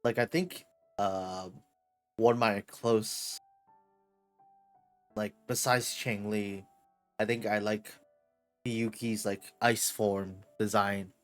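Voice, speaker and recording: A man's voice: on the low side, fairly even intonation, takes it slowly, long pauses, soft background music. A man streaming a game, chatting casually in a rambling way with lots of fillers. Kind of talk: casual commentary over gameplay.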